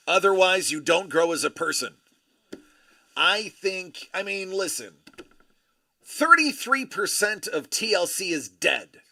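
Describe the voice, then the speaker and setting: A man hosts a live card break stream, chatting casually and telling rambling, joking stories. His voice is somewhat high and very bright, with a gravelly edge and lively swings in pitch. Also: takes it slowly, dry recording. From Buffalo area, USA.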